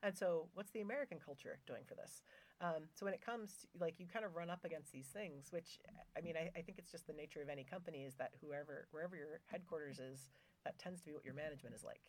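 A woman's voice: low-pitched, fast talker, slight background noise. A woman guest on a podcast, telling stories in a chatty way with lots of fillers and wry asides. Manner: chatty storytelling, many fillers, wry humor. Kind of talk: conversational podcast interview. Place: Norway.

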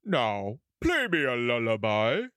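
A man voicing a roaring, greedy male giant in a storybook reading, with slow booming threats. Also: huge pitch swings, fairly bright tone, clean tone, no echo, studio quality, exaggerated voice. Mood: commanding.

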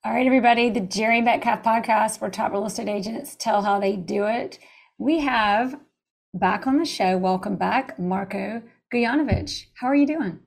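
A woman's voice: a little high, normal speed, vocal fry. A woman hosting a podcast interview, asking chatty, enthusiastic questions over a video call. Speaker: podcast host. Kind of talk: casual interview.

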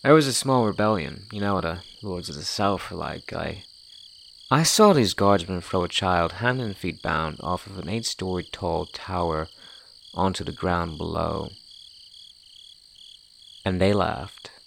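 An actor playing an infamous jester in an audio drama, brooding and mocking, with a merry facade over bitter, nihilistic darkness. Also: male voice, lively intonation, measured pace, creaky, a little hiss or hum, full character voice. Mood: grim, haunted. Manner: brooding, mocking, with swings in loudness and pitch. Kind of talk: theatrical dramatic monologue.

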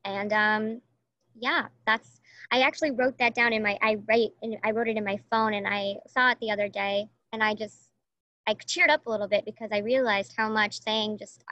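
A woman life coach sharing a personal reflection in a rambling, gentle way. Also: on the high side, steady tone, normal speed, dry recording, dull audio. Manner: rambling, self-affirming. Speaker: guest speaker, life coach.

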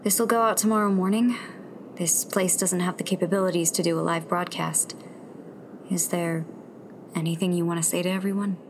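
A woman playing a thoughtful, self-doubting young rebel in a sci-fi radio drama, with a soft-spoken, hesitant manner. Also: fairly low pitch, steady tone, normal speed, background noise, lightly acted. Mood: matter-of-fact.

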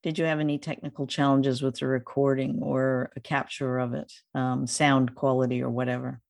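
Female podcast interviewer, gravelly creak, conversational and hesitant, close dry sound. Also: extremely low pitch, little pitch movement, normal speed, darkish tone, dry recording.

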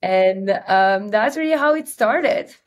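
A woman's voice: fairly high pitch, expressive, takes it slowly. Belgian-accented woman telling her story. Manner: friendly, storytelling with frequent ums. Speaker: guest, company CEO. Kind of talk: interview conversation.

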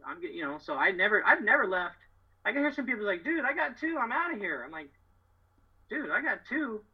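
A middle-aged American man with a husky voice, telling stories as a podcast guest in casual, slangy, emphatic, boastful talk. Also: high voice, very animated, brisk, highs cut off. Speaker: podcast guest, door-to-door solar salesman. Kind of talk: casual, animated storytelling.

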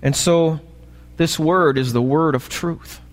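Slow-paced American pastor in reverberant church. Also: male voice, on the high side. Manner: asks the congregation frequent short checking questions. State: slightly raspy voice this morning. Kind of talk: sermon. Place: church, preaching live. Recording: live church recording, long hall reverb.

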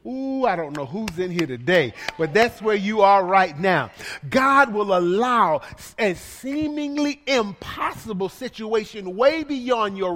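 A man's voice: high voice, fairly bright tone, long reverb tail. A male pastor preaching a sermon in a playful way, with lots of repetition and call-and-response to the congregation.

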